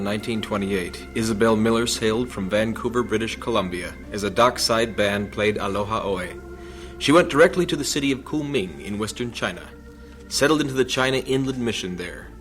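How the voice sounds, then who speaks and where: A man narrating a radio drama in a measured, formal way. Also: fairly low pitch, fairly bright tone, faint music behind.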